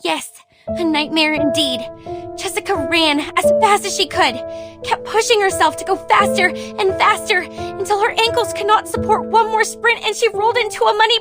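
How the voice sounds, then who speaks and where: A woman playing an imaginative young girl who tells horror stories in an earnest, theatrical way for a drama. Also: extremely high pitch. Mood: urgent. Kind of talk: dramatic storytelling in a scripted drama.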